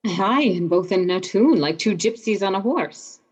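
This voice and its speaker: A woman's voice: medium pitch, animated, moderate pace, big-room sound, lightly acted. A woman playing a cheerful young page boy in a Shakespearean radio play. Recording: dull lo-fi sound with music.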